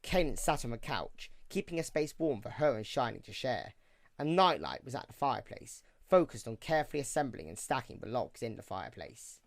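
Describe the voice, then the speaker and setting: A male narrator reads a scripted story. His voice is mid-pitched and the recording is close and dry. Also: big pitch swings, brisk, vocal fry, dry recording.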